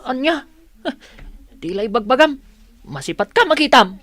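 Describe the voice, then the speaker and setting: An actress with an Ilocano accent playing a mocking, teasing schoolchild in a radio drama. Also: female voice, a little high, very animated, measured pace, fairly bright tone, heavily acted.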